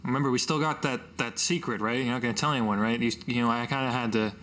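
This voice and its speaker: A man playing a scrawny, stubborn boy rogue in a tabletop game, whiny and halting, hedging as he goes. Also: medium pitch, fairly even intonation, fairly bright tone, a little hiss or hum, natural voice. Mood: sheepish, halting.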